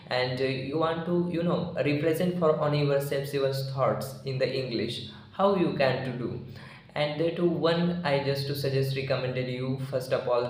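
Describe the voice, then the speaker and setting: A young man in his twenties from India, a native Marathi speaker with an Indian accent, giving earnest advice to the camera with loose grammar and many "you know" fillers. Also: medium pitch. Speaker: English-learning YouTuber. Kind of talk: talking-to-camera advice vlog. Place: small indoor room, plain wall. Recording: very echoey room, faint hiss.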